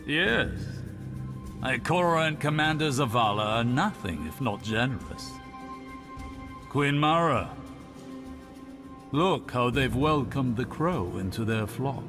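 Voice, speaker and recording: Casting: taunting game villain, sardonic and mocking, mid-pitched, unhurried, music clearly behind. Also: male voice, expressive. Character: sly, sardonic man, a deceiver taunting his foes. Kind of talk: video game cutscene dialogue.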